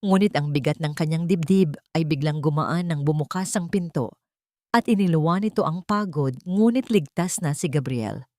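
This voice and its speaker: Female storyteller, Filipino accent, lower register, solemn suspenseful audio drama narration, close dry sound. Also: moderate pace, darkish tone, no room sound.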